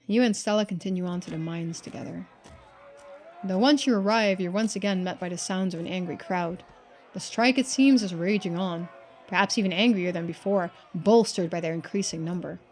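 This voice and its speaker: A woman's voice: fairly low pitch, normal speed, a little mellow, slight background noise. A woman streaming a game, informally thinking aloud and reading the narration. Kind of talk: casual game commentary and read-aloud narration.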